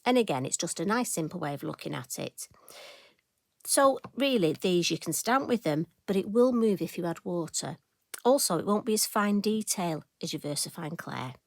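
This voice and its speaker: A woman talks through her craft project in a casual, homely chat full of asides. Her voice sits a little low and sounds croaky. The recording is dry and close, with no room sound. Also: lively intonation, moderate pace, a little mellow, no echo. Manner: chatty, homely, rambling asides. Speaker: craft demonstrator.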